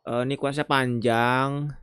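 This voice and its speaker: A man's voice: mid-range voice, flat delivery, moderate pace, background noise. An Indonesian man giving casual live game commentary as a streamer, informal and slangy, mixing in English words. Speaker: gaming streamer.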